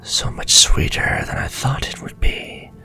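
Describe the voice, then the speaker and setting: A man voicing a sly, sadistic warlock villain in a flirtatious ASMR fantasy roleplay, with a slow, teasing, half-whispered delivery. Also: pushed and bright, faint music behind. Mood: menacing seduction.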